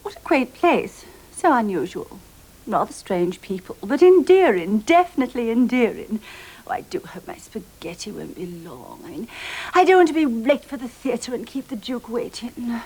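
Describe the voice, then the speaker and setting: Genteel, gushing, high-voiced lady. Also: animated, normal speed, darkish tone, poor audio. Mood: dreamy relish. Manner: affected, twee, gushing, condescending. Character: genteel, dotty, food-loving lady diner. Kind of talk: children's comedy show acting.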